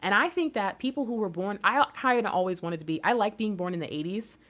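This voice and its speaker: A woman's voice: medium pitch, fast, fairly bright tone. A woman in her thirties from the United States, giving a casual tarot reading in a chatty, rambling way and laughing often. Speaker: tarot reader.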